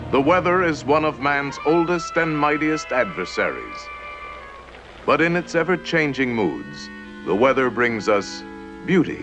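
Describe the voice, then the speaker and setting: A man narrating a 1950s educational film in a warm, playful storytelling manner that slips into rhyme. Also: mid-range voice.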